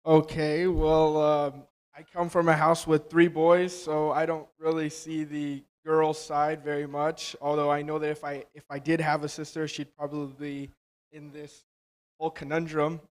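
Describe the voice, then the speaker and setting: An eighteen-year-old man answering panel questions in a casual, easygoing way. His voice is smooth and fairly high, with little pitch movement. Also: flat delivery, normal speed, a little mellow. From Albanian household. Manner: casual, uses fillers like "you know what I mean".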